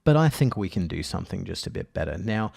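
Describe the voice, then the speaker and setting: Low, creaky man thinking aloud. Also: sing-song, fast, a little mellow. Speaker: audio editing tutorial presenter. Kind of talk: casual software tutorial narration.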